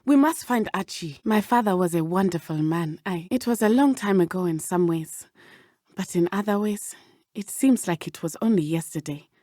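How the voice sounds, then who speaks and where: A woman with a southern African accent playing an earnest, brave teenage witch in a video game, speaking in measured, formal, precise diction. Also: on the low side, animated, warm tone, no room sound, studio quality. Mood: urgent, lively. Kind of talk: video game character dialogue.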